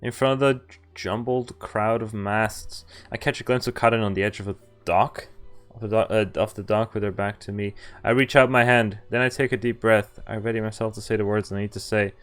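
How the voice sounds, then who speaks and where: A man's voice: on the low side, steady tone, brisk, faint music behind, close to an everyday voice. A man reading aloud as an anguished, lovelorn young man in a visual novel, earnest and emphatic. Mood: resolved. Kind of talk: dramatic read-aloud of visual novel narration.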